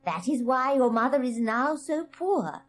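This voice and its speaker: A woman voicing a mysterious, knowing old woman in a fairy tale, speaking softly and higher than her own voice. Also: animated, a little slow, a little hiss or hum. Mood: hushed. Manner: soft and hushed.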